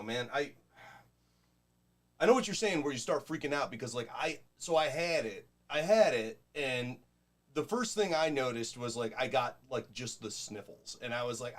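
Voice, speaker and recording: A man with a nasal voice and a Rochester, New York accent, co-hosting in blunt, profane casual chat. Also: medium pitch. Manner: swears freely, blunt and joking. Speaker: podcast co-host.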